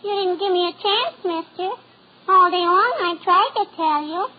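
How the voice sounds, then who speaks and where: A woman's voice: very high-pitched, moderate pace. An actress playing a chirpy, persistent little girl in a 1940s radio comedy. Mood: matter-of-fact. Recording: muffled, noisy 1940s radio recording.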